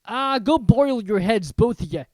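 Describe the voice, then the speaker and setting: A reader voicing a huge, hot-tempered male giant from a storybook, who talks in a loud, gruff rural dialect. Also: medium pitch, animated, unhurried, dry recording, studio quality, voice pushed up. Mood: scornful. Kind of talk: audiobook character reading.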